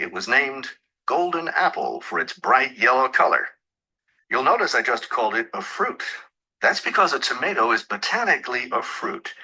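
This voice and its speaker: A man's voice: medium pitch, bright, forceful tone, slightly rough, full character voice, low-quality recording. A man playing an informative lecturer, reading a scripted academic talk in an instructive, emphatic way for a listening test. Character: informative lecturer explaining food history.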